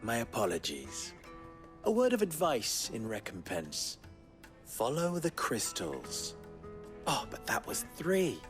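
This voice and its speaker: A cocky young merchant in a fantasy game, with very animated pitch and an unhurried pace. He is smug and theatrical, savoring each taunt over a music bed. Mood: playfully condescending. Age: young adult. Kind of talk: video game voice acting.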